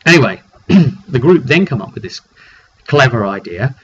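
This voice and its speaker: A man's voice: medium pitch, big pitch swings, moderate pace, a bit bright. British guy game master chatting away about his rpg session, rambly, kinda muffled mic w/ a bit of noise. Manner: chatty and rambling, acting out players' remarks. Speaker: game master recapping a tabletop roleplay session. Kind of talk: casual storytelling monologue. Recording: dull lo-fi mic with faint noise.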